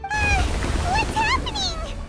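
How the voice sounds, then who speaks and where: Tiny squeaky, very high fairy companion. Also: female voice, very slow, full character voice. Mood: alarmed, puzzled. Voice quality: squeaky, childlike. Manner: exclamatory, alarmed. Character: tiny childlike fairy companion, excitable and anxious. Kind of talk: cartoonish video game voice acting. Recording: loud music behind the voice.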